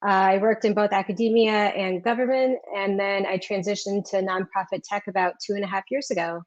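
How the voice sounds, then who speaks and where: A woman's voice: medium pitch, fairly even intonation, brisk, lo-fi sound. A woman giving a presentation in a friendly way, with frequent ums, on a video call. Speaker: research community officer. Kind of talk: presentation talk.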